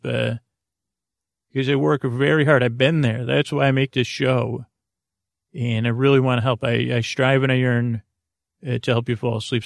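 A man hosting a sleep podcast, speaking in a halting, meandering, self-deprecating bedtime ramble with a gravelly, creaky voice. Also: mid-range voice, dry recording, clean studio sound. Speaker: podcast host. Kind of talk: rambling bedtime monologue to help listeners sleep.

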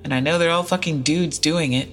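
An actress playing a sardonic, foul-mouthed traveler stranded in an apocalypse, confiding in a comedic audio drama monologue. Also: female voice, low-pitched, a little fast, faint music behind, lightly acted. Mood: dismayed, wry.

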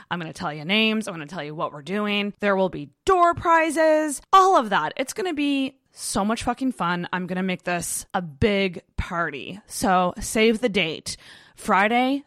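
A woman's voice: mid-range voice, a bit bright, dry recording, clean studio sound. A woman hosting a podcast in a casual, chatty way, with a big voice, gushing and swearing freely. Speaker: podcast host.

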